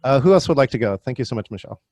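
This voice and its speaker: Male workshop host on a video call; friendly, mid-pitched, dry close sound. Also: expressive, very fast, a little mellow, no echo. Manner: friendly, calls on attendees by name. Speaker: virtual meeting trainer. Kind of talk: hosting an online workshop.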